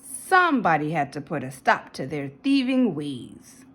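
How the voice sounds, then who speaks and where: Storybook cowgirl, played by a woman reader: young, fiery, defiant, folksy, wide swings in pitch, a little husky. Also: big pitch swings, measured pace, a bit bright, heavily acted. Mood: matter-of-fact. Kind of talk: storybook read-aloud character voice.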